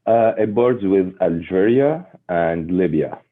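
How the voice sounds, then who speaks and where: A man's voice: deep voice, animated, a little slow. A man in his forties from Tunisia, a podcast guest talking casually with many hesitant fillers, heard over a phone line. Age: 40s. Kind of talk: casual interview chat.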